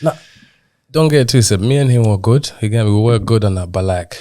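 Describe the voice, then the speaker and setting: A man's voice: lowish voice, a little fast, dark tone, no echo. A young man from Zimbabwe who grew up partly in England, talking casually as a podcast guest and using British street slang with frequent check-in tags. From Zimbabwe, moved to England in childhood. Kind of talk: casual personal storytelling in an interview.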